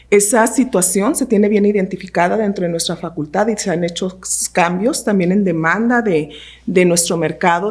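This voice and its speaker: Give me a woman co-hosting a podcast, mid-range voice, explaining things calmly and steadily. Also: medium pitch, breathy edge. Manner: measured, explanatory, repeats phrases for emphasis. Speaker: podcast co-host, university professor. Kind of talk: panel discussion on a podcast.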